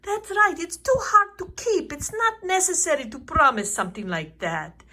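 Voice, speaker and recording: A woman voicing a kindly, excitable uncle puppet in a children's show, exclaiming and teaching slowly. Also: extremely high pitch, full character voice. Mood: instructive. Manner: exclaiming, slow, instructive.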